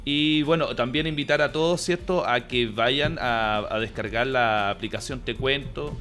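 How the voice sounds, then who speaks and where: Male host, Chilean accent, informal interview style, bright and crisp-edged tone, light music underneath. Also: medium pitch, moderate pace. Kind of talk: casual hosting of an online conversation. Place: online interview call.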